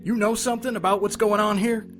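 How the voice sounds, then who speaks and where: A man's voice: sits high, fairly even intonation, quick pace, fairly bright tone, soft background music. A man playing an irritated, guarded police lieutenant in an audio drama. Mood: exasperated. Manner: irritated, dismissive.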